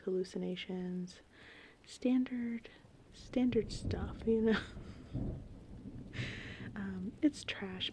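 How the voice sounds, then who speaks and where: Woman, mellow soft voice, breathy and often whispery, casual ASMR rambling, informal, gentle rain and thunder behind. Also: mid-range voice, a little hiss or hum. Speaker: ASMR host. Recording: steady rain and thunder ambience. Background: gentle rain and thunderstorm sounds.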